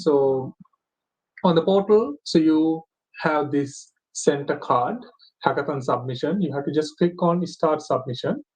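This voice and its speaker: A man hosting an online session, walking viewers through steps with lots of fillers and pauses. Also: medium pitch, a little mellow, low-quality recording. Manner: hesitant, many fillers. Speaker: host. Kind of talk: webinar moderation.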